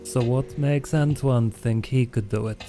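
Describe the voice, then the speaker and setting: A man voicing a calculating, self-interested vampire in a tabletop roleplay, with a dry, deliberate delivery. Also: medium pitch, music in the background, natural voice. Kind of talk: actual play roleplay dialogue.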